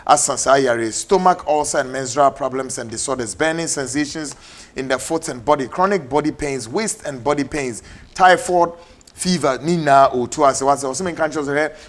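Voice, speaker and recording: A man with a Ghanaian English accent hosting a TV talk show, mixing English and Twi in brisk announcements. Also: medium pitch. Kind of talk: TV political talk show, closing with ads. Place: TV studio.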